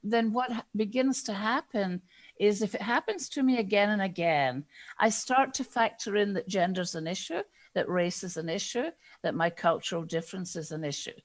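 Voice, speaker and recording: A woman with a Scottish accent, a guest expert in an interview, explaining ideas in a measured way and acting out the inner questions of her examples. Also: medium pitch. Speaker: guest expert on diversity and inclusion.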